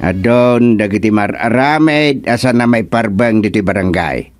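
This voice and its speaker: A man playing a stern, authoritative village chairman with a deliberate, commanding manner in an Ilocano radio comedy drama. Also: fairly low pitch, no echo, soft background music, natural voice. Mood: serious, firm.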